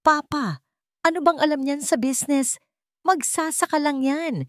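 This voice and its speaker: A woman plays a snobbish rich young daughter in a story drama. Her voice is shrill and high, full of irritated scorn, and recorded dry. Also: a little slow, no echo. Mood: scornful.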